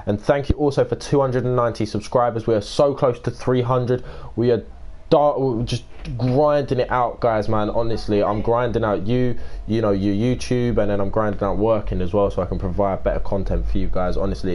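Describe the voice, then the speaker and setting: A young man with a croaky voice, chatting casually and swearing as he livestreams a game and greets viewers by name. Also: mid-range voice, a little mellow, a little hiss or hum. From United Kingdom. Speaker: streamer. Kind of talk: casual gaming livestream chat.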